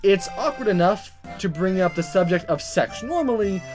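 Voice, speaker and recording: A gamer voicing a flustered, awkward high school boy from a visual novel, stammering and exasperated. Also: animated, normal speed, lightly acted. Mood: awkward, confused. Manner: stammering, exasperated inner monologue. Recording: music under the voice.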